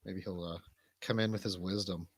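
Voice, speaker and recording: A man's voice: sits low, fairly even intonation, moderate pace, slightly rough, no room sound. A man hosting a livestream, chatting casually in an informal, bantering way. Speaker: livestream host.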